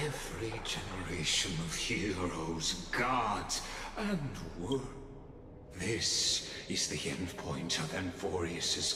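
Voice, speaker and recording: A man's voice: low voice, noisy background, full character voice. A man playing a smug, theatrical game villain who talks down to others in a mocking, grandiose manner. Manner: drawn-out, mocking, grandiose delivery. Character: smug, theatrical male villain, coolly condescending schemer. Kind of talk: dramatic video game voice acting. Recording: cavernous reverb over a music bed.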